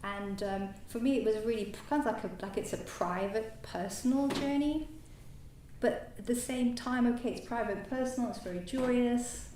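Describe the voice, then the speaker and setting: Halting woman in an echoey hall. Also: a little high, dark tone. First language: French. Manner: hesitant, halting, many fillers and restarts. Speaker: new Buddhist order member. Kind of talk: personal reflective talk. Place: meditation centre hall. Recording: echoey hall, distant mic, faint noise.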